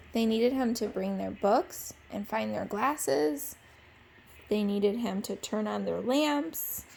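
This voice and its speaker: Woman, teacher read-aloud, slightly high voice, unhurried, expressive, faint background noise. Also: gravelly. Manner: expressive, simple phrasing for young children. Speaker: teacher reading aloud to children. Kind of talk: picture book read-aloud.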